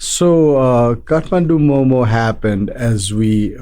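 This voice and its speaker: A man from Nepal, a restaurant owner being interviewed, talking in a relaxed way with many fillers and restarts. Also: mid-range voice, slow. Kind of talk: casual interview talk.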